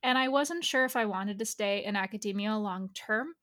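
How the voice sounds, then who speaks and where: A woman's voice: mid-range voice, moderate pace, a bit bright. A woman from the United States speaking as an interview guest, telling personal stories in a chatty, explanatory way. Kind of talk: casual personal storytelling.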